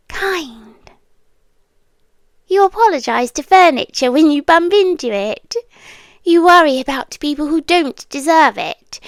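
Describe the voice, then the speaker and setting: Woman doing a british yandere demon girlfriend asmr, high breathy whispery voice, really slow, possessive n creepy sweet. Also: no room sound, studio quality, exaggerated voice. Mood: tender, obsessive. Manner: breathy whispering, halting, drawn-out delivery. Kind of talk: ASMR roleplay. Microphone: close, dry ASMR microphone.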